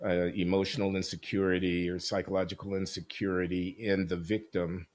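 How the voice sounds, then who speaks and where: A man questioning his guest in a probing, measured way, pausing with hesitations as he builds his point. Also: deep voice, level tone. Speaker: interviewer. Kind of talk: conversational discussion.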